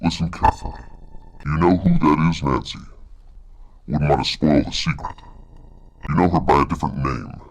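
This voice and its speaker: An actor playing a sinister, secretive caller in a video game who taunts in a menacing, cryptic way. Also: male voice, very deep voice, normal speed, long pauses, husky, full character voice.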